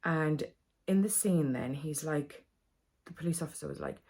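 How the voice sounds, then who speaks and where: A woman speaks in a slightly low register. She talks straight to camera in a casual, confessional vlog style, candid and emotional, wandering from one thought to the next. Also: normal speed, creaky, darkish tone. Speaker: vlogger.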